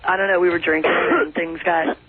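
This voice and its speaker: A woman's voice: on the low side, level tone, brisk. A woman phoning in to a radio show, talking casually and a little hesitantly. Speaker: phone-in guest. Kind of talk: casual phone conversation. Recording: thin tinny phone line.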